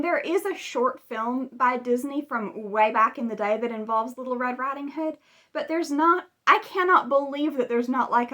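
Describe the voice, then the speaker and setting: A woman's voice: high voice, fairly bright tone. A woman hosting a video, retelling stories in a casual, chatty way with conversational asides. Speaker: video host. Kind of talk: casual video commentary retelling fairy tales.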